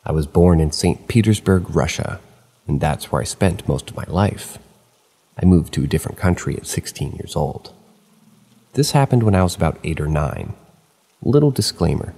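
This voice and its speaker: A man reading first-person stories aloud at a steady pace, slipping in dry asides of his own. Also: low voice, normal speed, husky, long reverb tail. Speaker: narrator of online horror stories.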